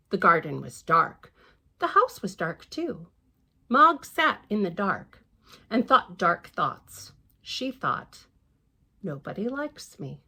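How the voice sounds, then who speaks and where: A woman reads a children's story at a library storytime. Her voice is bright and fairly high, swinging expressively up and down. She is playful, pausing for gentle asides to young listeners. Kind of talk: children's picture-book read-aloud with asides to young listeners.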